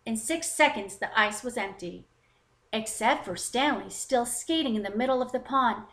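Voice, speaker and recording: A woman with a fairly high voice narrates a picture book for young children. Her delivery is expressive and deliberate. The recording has a noticeably roomy echo. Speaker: children's story time host and narrator.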